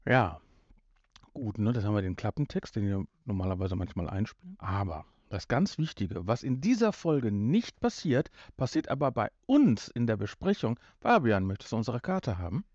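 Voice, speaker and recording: Man, fifties, soft dark tone, rambling podcast host, jokey, dull home recording. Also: fairly low pitch, big pitch swings, normal speed, no room sound. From North Rhine-Westphalia, Germany. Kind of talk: casual podcast chat. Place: room in a family home.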